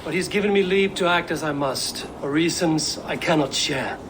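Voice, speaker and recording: An actor playing a bold, blunt Viking warrior in a video game cutscene, terse, plain-spoken and steady. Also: male voice, a little high, moderate pace, natural voice. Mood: businesslike. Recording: clearly noisy ambient background.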